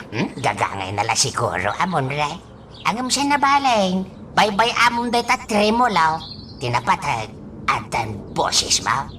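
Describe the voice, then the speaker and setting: A woman playing an excitable, teasing village elder in an Ilocano radio drama, with folksy exclamations and a swooping delivery. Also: fairly low pitch, measured pace, slight background noise, heavily acted. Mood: teasing, amazed.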